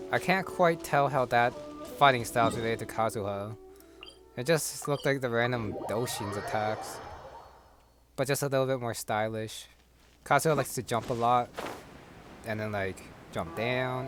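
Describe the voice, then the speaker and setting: A man commentates casually on a video game over loud music. His voice is medium-pitched, and he muses in a tentative, offhand way. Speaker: gamer, playthrough commentator.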